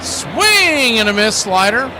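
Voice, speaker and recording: A man's voice: very high voice, very animated, slow pace, background noise. A man calling baseball play-by-play as a live stream host, mixing in casual chat and blunt, folksy asides.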